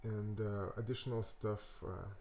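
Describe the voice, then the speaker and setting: A man with a Lithuanian accent hosting a coding tutorial, thinking aloud in a halting way with many fillers and restarts. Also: fairly low pitch, fairly even intonation, mellow, slight background noise. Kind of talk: casual screen-recorded coding walkthrough.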